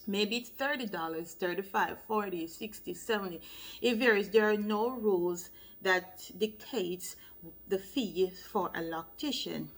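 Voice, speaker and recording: A woman's voice: medium pitch, a bit bright. Chatty Jamaican woman vlogging advice. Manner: chatty, explains with lists and checks in with "okay?". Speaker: loctician and channel host. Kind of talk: casual advice vlog to camera.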